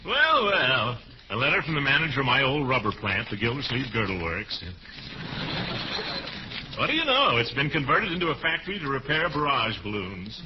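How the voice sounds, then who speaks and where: A man's voice: mid-range voice, very animated, moderate pace, lightly acted. A man playing a pompous, blustery, chuckling middle-aged uncle in a 1940s radio comedy. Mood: jovial, proud. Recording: noisy, muffled old radio recording.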